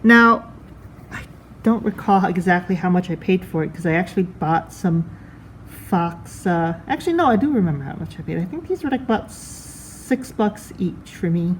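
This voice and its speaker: A woman's voice: lowish voice, expressive, normal speed, a little hiss or hum, rough recording. A woman giving a casual hands-on product review, thinking aloud in a conversational way.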